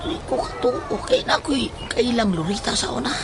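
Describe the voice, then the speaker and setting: A man with a Cebuano accent playing an excitable, superstitious village friend in a horror audio drama. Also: very high-pitched, normal speed, vocal fry, a crowd in the background, noisy background, exaggerated voice. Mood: excited.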